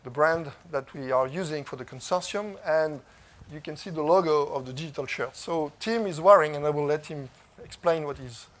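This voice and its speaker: A man with a French accent, French his first language, presenting at a technology conference in a halting way, with many fillers and restarts. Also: a little high. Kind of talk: conference talk. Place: conference stage.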